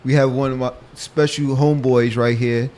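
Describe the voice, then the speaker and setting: A man's voice: mid-range voice, level tone, normal speed, a little mellow, slight background noise. A man with an American accent hosting a casual interview, asking questions in slangy, familiar phrasing. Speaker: show host.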